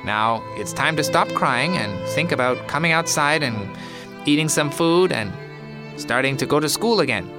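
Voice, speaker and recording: A man's voice: on the high side, animated, normal speed, music in the background, voice pushed up. A man voicing a stern, unkind aunt who nags and scolds. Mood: nagging. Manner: pushy and scolding.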